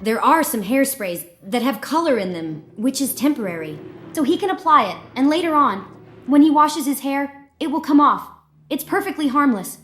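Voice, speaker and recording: A woman's voice: high voice, expressive, moderate pace, music in the background. A woman voicing a helpful, reassuring hair stylist explaining things in a fan-dubbed comic report. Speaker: hairdresser.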